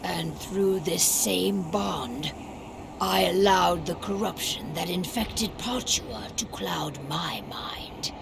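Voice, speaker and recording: A woman playing a proud, solemn werewolf tribe leader in a video game cutscene, speaking gravely with breathy, half-whispered phrases. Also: on the low side, slow, background noise. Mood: solemn, remorseful.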